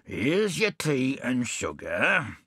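A man's voice: medium pitch, wildly swooping pitch, takes it slowly, fairly bright tone, slightly rough, no room sound, studio quality, heavily acted. A man playing a blustering, hot-tempered adventurer in a storybook, with an indignant, roaring delivery. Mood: gruff, demanding. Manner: roaring, indignant, colourfully abusive.

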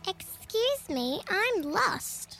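A woman's voice: very high-pitched, sing-song, very slow, creaky, sharp tone, faint music behind, voice pushed up, full character voice. A woman voicing a tiny, lost young furry creature, timid and hesitant, in a children's storybook tale. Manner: tentative, hesitant.

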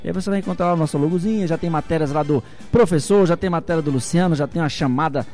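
A man's voice: on the high side, fast, a little mellow, soft background music. A man hosting a radio sports show, chatty and jokey, repeating himself as he talks to listeners. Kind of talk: radio chat promoting the show's channel.

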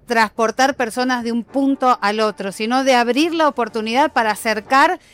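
Mujer ejecutiva de voz aguda, pausada. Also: female voice, fairly high pitch, fairly bright tone. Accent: Mexican Spanish with a foreign tinge. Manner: fluent, measured, with fillers and restarts. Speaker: airline sales director, guest. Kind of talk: business interview.

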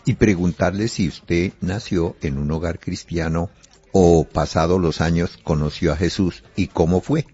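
Male pastor on radio, very low mellow voice, lo-fi sound. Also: fairly even intonation, unhurried, breathy edge, no room sound. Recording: dull lo-fi radio audio, faint music.

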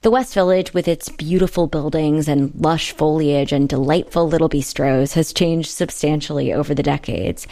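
A woman's voice: deep voice, fairly even intonation, moderate pace, hardly any pauses, warm tone. A woman reporter delivering scripted narration for an audio feature.

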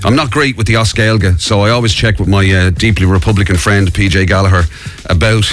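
A man's voice: on the low side, fast, faint music behind. An Irish man hosting morning radio, chatty and giggly, with plenty of fillers. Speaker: radio host. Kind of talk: casual morning radio banter. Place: radio studio.

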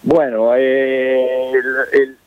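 A man with an Italian accent speaks over a narrow, tinny phone line. His talk is halting and emphatic, and he repeats words as he goes. The sound is dry and close. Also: mid-range voice, flat delivery, smooth, dry recording. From born in Italy. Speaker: circus manager. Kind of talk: radio interview over the phone.

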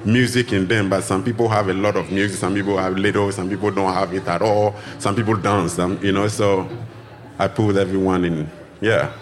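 A man's voice: fairly even intonation, fairly quick. A man from Ghana who teaches drumming, answering radio interview questions in loose, searching phrases full of restarts. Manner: loose, searching phrasing with restarts, acts out greetings in high voices. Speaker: drumming teacher and tour guide.

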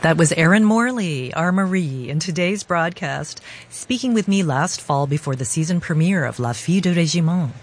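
A woman's voice: lowish voice, lively intonation, moderate pace, hardly any pauses, breathy edge. A woman hosting a radio broadcast, moving between scripted links and interview questions.

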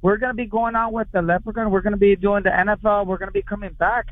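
A man calling in to a radio show, talking in an excitable, rambling way. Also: high-pitched, fast talker, no room sound. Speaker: radio caller. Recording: tinny phone line.

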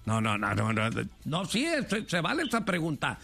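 A man hosting a radio call-in show, firing provocative questions in a lively debate style. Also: on the high side, normal speed, soft background music. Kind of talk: lively talk-radio debate with co-host and callers.

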